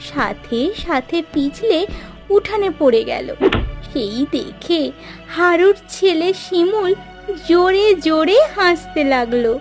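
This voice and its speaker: Female narrator, high voice, scripted storytelling, children's cartoon tale, soft music behind. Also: lively intonation, slow.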